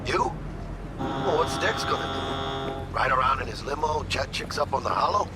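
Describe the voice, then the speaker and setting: Heavy ambient noise surrounds a man playing a brash young street gunman from a video game. His voice is animated and mid-pitched, full of casual slang. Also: moderate pace, lightly acted. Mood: wry, animated. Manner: casual slang sprinkled with Spanish. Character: brash, lively young male street-gun buddy.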